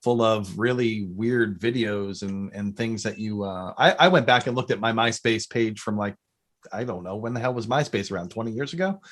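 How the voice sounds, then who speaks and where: A man's voice: on the low side, normal speed, fairly bright tone. A man hosting a podcast in casual chat, joking and rambling. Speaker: podcast host.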